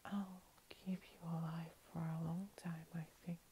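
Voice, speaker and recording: A woman acts out a menacing female vampire cat-girl princess for an ASMR roleplay. Her whisper is soft, dark and low-lying, barely rising or falling. She is condescending and playful, toying with her prey. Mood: playful, possessive. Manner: slow, teasing, condescending, with lingering pauses. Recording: steady hiss.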